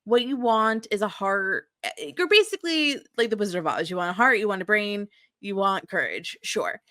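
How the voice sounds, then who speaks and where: A woman's voice: mid-range voice, expressive, normal speed, bright, forceful tone, no room sound, clean studio sound. A woman co-hosting a podcast, chatting casually with comic, exaggerated emphasis. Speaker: podcast host.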